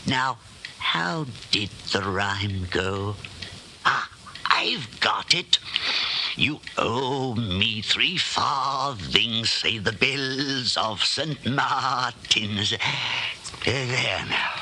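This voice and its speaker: An actor playing a genial, chatty elderly widowed shopkeeper who rambles and hesitates deferentially, in 1940s radio style. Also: male voice, medium pitch, expressive, extremely slow, bright, forceful tone, full character voice. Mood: reminiscing, eager. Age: older. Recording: old 1940s radio recording, faint noise.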